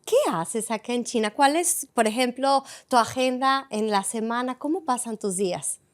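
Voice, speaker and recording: An effusive woman hosts a casual interview in a bar. Her voice sits a little high, and she greets and thanks her audience with lively warmth, dropping fillers as she goes. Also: normal speed. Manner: effusive, expressive, with fillers like "este". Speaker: interview host. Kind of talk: warm conversational interview. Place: a Latin music bar.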